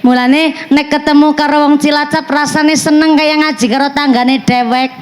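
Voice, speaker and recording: A woman preacher with a Banyumasan Javanese accent giving an animated, joking sermon, switching between Javanese and Indonesian. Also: high-pitched, normal speed. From Central Java. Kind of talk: religious sermon.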